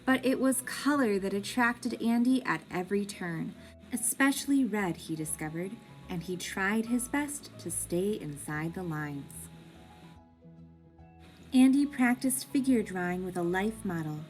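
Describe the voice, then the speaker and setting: A woman reading a children's picture book aloud in an expressive, deliberate way, like a teacher with young pupils. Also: medium pitch, soft background music.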